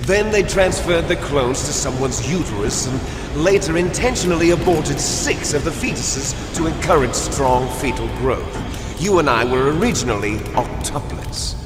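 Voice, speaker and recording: A man playing a bitter, grandiose villain soldier in a video game, with a menacing, declamatory, taunting delivery full of dramatic pauses. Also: mid-range voice, animated, lightly acted. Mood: solemn exposition. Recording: echoey hall reverb under loud music.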